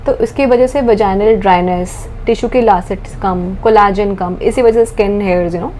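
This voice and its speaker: A woman doctor calmly explaining medical points in a podcast conversation, mixing Hindi with English terms. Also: mid-range voice, normal speed, a little hiss or hum, rough recording. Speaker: doctor answering questions.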